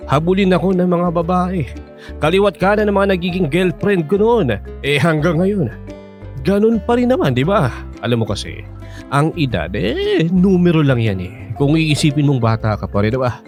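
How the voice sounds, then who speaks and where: Cheeky smooth talking filipino grandpa type, sweet talking, voice swoops all over, bit of music under. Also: male voice, on the high side, darkish tone. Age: 60s. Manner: teasing, cajoling, exaggerated swooping delivery. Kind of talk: radio drama acting.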